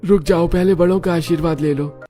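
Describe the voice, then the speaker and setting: A man's voice: on the high side, steady tone, fairly quick, mellow, faint music behind, lightly acted. A man playing an exasperated, pleading young husband in a family story, urgent and scolding. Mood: insistent. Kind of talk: dramatised Hindi family story acting.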